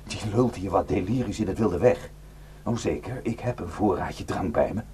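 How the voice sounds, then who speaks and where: Haunted, low-voiced male defector, noisy recording. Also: lively intonation, warm tone. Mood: bitter, desperate. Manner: halting, intense, bitter. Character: haunted, guilt-ridden Kurdish male defector, desperate to warn villagers. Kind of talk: Dutch radio drama acting. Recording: noisy radio play recording with hum.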